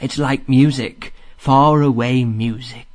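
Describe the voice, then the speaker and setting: A man voicing a gentle, earnest mole from a storybook, drowsy and plaintive in manner. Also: medium pitch, fairly even intonation, slow, lightly acted. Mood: drowsy, sad.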